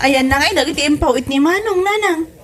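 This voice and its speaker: A woman's voice: high-pitched, lively intonation, a little fast, fairly bright tone, faint music behind. A woman playing an exasperated grown daughter in a comedy audio drama, speaking with an Ilocano accent. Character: exasperated grown daughter nagging her stingy mother.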